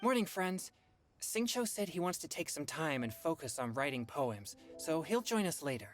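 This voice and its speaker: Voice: mid-range voice, sing-song, normal speed, close to an everyday voice. An actor voicing an earnest, courteous youth in a video game. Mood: friendly. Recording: music behind the voice.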